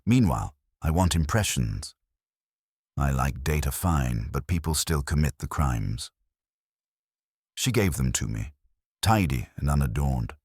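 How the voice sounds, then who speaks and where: Male audiobook narrator for detective fiction: extremely low pitch, animated intonation, wry delivery, dry recording. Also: breathy edge, no room sound. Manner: wry, measured. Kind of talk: scripted first-person detective story narration.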